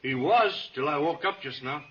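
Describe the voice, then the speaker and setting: An actor playing a wily, desperate prisoner turned accomplice in a 1950s radio western, urgent and persuasive. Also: male voice, fairly high pitch, expressive, moderate pace, a bit bright. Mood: urgent persuasion. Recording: dull, faintly noisy radio recording.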